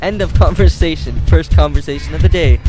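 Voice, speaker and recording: A man doing a casual game playthrough, reading the story text aloud in a halting, stumbling way. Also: on the high side. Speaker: gaming video host reading a visual novel aloud. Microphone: desk USB condenser microphone. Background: game music.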